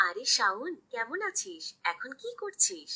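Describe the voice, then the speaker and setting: Woman voicing a very bright, sharp cartoon friend. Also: high-pitched, sing-song, measured pace, creaky, exaggerated voice. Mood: friendly greeting. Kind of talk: cartoon voice-over clip.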